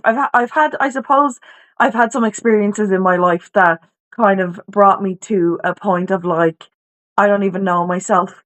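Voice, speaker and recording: A woman's voice: mid-range voice, a bit bright. An Irish woman from Dublin, a podcast guest, talking candidly and informally about her life on a video call, with many restarts and "you know"s. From Ireland, Dublin. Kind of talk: candid personal story in conversation.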